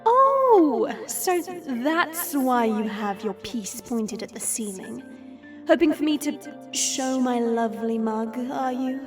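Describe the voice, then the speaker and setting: A woman's voice: on the high side, sing-song, slow, music in the background. A woman playing a sassy, teasing vampire with a sarcastic, playful drawl in an audio roleplay. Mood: sassy, mocking. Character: hidden female vampire, sassy, teasing, unafraid. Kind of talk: audio roleplay drama acting.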